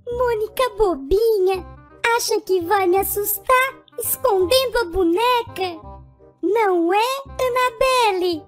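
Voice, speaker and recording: Woman as a very high-voiced cheeky little boy. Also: slow pace, soft background music. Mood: teasing. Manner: teasing, cheeky. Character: mischievous, cheeky little cartoon boy, a prankster. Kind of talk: children's cartoon voice acting in Portuguese.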